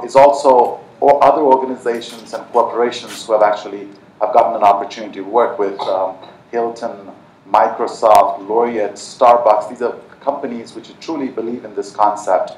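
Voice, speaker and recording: A man's voice: lowish voice, a little mellow. A man from Nepal speaking earnestly on a conference panel, with many fillers and restarts. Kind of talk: panel talk. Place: large conference hall. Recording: echoey hall from far off.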